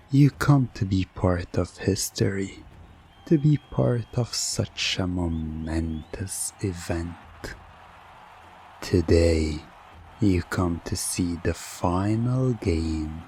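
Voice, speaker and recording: A man doing hypnosis ASMR roleplay as a teasing, theatrical game show host, softly coaxing the listener into trance. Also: sits low, husky. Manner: slow, teasing, theatrical showman; soft, coaxing hypnotic induction.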